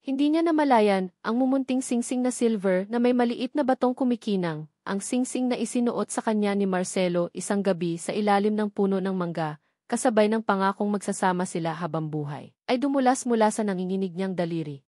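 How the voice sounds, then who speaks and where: A woman's voice: medium pitch, a little fast, mellow, no room sound, clean studio sound. A woman reading a dramatic scripted love story as its narrator.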